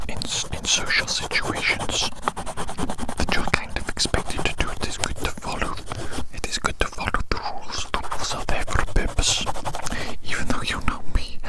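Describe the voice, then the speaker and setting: A man speaks entirely in a breathy whisper, right up against the microphone. He rambles reflectively in ASMR style, often repeating a phrase. Soft scratchy drawing sounds accompany his voice. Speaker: ASMR video creator. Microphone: very close ASMR microphone.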